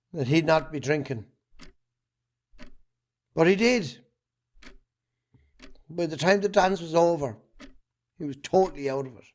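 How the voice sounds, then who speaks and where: Irish farmer guy man acting it, guilty and defensive, kinda high voice, halting, amateur drama. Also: darkish tone. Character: defensive, guilt-ridden Irish farming man confessing under questioning.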